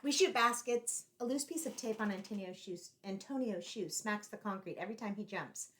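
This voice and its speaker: A woman reading a picture book aloud to children in an expressive, deliberately phrased storytelling style, like a teacher. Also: mid-range voice.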